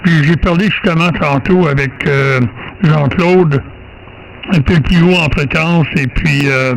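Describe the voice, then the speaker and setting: A man's voice: on the high side, a little slow, gravelly, low-quality recording. A man with a Quebec French accent chats casually as an amateur radio operator, hesitating, repeating words and rambling along. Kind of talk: casual radio contact chat. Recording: amateur radio recording, faint static.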